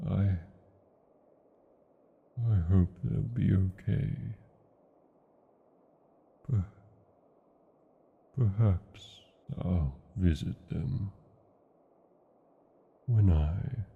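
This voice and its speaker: An ancient British dragon for a fantasy romance roleplay. The voice is very low and gravelly, and it moves extremely slowly through grand, old-fashioned phrases with lingering pauses. The creature is huge and proud, yet lovesick. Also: male voice, phone-quality sound, exaggerated voice. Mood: melancholy, regretful. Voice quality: gravelly, husky. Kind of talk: romantic fantasy ASMR roleplay, slow theatrical delivery.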